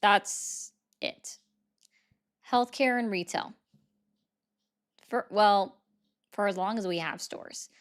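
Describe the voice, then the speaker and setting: Chatty woman livestream host, smooth voice. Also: mid-range voice, slow pace, pauses often. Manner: chatty, reads viewer gifts aloud. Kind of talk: casual livestream commentary.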